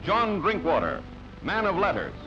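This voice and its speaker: A man with a fairly high, swooping voice reads newsreel copy. His delivery is jaunty and theatrical, in announcer style. The soundtrack is dull and band-limited, with music playing behind him. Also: huge pitch swings, moderate pace. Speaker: newsreel narrator. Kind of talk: scripted newsreel narration. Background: background music.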